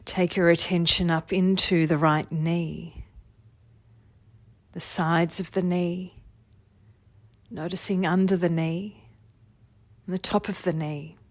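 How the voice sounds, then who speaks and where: A woman leading a guided meditation as a teacher, giving gentle, soothing body-scan instructions in an unhurried way. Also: lowish voice, fairly even intonation, dry recording. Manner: soothing, unhurried, gentle instruction with long phrases trailing softly.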